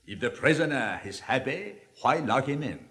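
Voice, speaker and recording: Suave cynical libertine, lively swinging intonation, declamatory 1950s stage drama, long hall reverb. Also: male voice, medium pitch. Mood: earnest, rhetorical. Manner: rhetorical, deliberate, declamatory. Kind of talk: 1950s theatrical dramatic reading.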